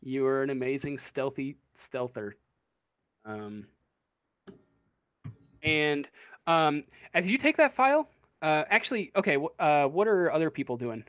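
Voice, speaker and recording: A man improvising tabletop game narration as game master, informal and halting as he feels his way through descriptions. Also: fairly high pitch, expressive, fairly bright tone, clear, resonant tone, dry recording, clean studio sound.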